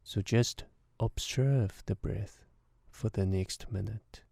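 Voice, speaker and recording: A man guiding a meditation, giving measured instructions in short phrases with deliberate pauses. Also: fairly low pitch, creaky, mellow, slightly rough, dry recording. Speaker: meditation guide. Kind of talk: guided meditation narration.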